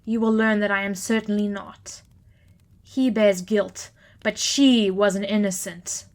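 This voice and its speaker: A woman performs a regal female deity of vengeance for an audio drama. She is imperious and wrathful, speaking in grand, formal declarations at a natural middle pitch. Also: fairly even intonation, measured pace, natural voice. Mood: righteous anger.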